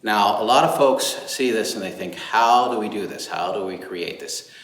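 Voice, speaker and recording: A man hosting a storytime, presenting to children and families in an expressive, emphatic, enthusiastic way. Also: lowish voice, normal speed. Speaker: storytime host. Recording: roomy echo, clear background noise.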